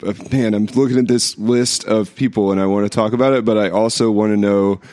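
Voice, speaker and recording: A hoarse man co-hosting a podcast, joking wryly in casual chat with stammered restarts. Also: on the low side, steady tone, fairly quick, darkish tone. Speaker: podcast co-host.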